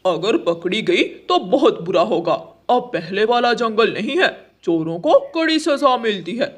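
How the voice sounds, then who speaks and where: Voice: mid-range voice, big pitch swings, a little slow. A performer voicing a blunt, mocking male crow in a cartoon, teasing and scolding. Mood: warning.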